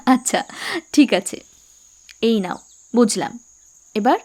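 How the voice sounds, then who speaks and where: High-voiced, shy young sweetheart. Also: female voice, lively intonation, slow pace, dark tone. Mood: curious. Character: shy, emotional young woman in love, sheltered by a man. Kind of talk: animated romance drama voice acting.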